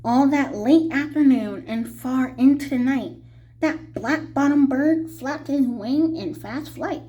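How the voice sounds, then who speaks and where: A woman's voice: sits high, takes it slowly, warm tone, poor audio. A woman reading a children's storybook aloud, narrating rhyming text expressively. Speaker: children's audiobook reader.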